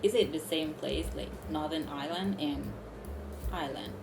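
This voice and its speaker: Low-voiced woman, echoey room. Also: moderate pace, creaky, over music. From Thailand. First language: Thai. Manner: hesitant, many fillers, giggly. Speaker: channel host chatting with strangers. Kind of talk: casual video chat. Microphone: online video call.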